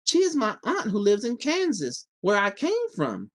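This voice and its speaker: A man's voice: high-pitched, wildly swooping pitch, a little slow, pitched above the performer's own voice, heavily acted. A man voicing an innocent, earnest young farm girl in a storybook, polite and full of wondering questions. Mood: curious.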